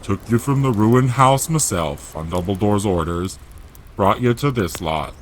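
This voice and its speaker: A man playing a gruff, kindly, grieving and protective giant with folksy, rough grammar in an audiobook drama. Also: lowish voice, lively intonation, a little slow, a little hiss or hum. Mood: sad.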